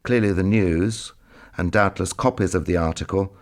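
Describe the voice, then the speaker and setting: A man's voice: low-pitched, moderate pace. A man, reading his own written recollections as a scripted narration. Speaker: memoir author and narrator.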